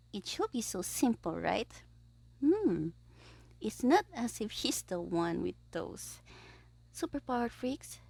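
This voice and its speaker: A woman voicing a gleeful, scheming young male supervillain in an ASMR audio roleplay, theatrical and petulant, musing aloud with breathy asides. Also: on the high side, dark tone, dry recording, exaggerated voice. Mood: frustrated musing.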